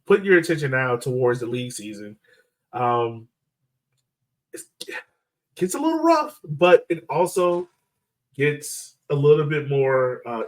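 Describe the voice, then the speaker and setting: A man hosting a sports podcast in casual, conversational soccer chat. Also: mid-range voice.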